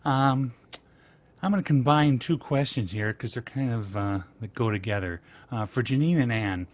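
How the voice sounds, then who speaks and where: A man politely putting audience questions to the guests in a panel Q and A. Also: lowish voice.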